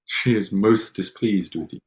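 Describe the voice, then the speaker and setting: A reserved Regency gentleman suitor acted by a man, with a deep, low voice. He is formal and proud, shyly earnest underneath, and a little halting as he reads the period romance. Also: natural voice. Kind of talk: staged reading of a period drama.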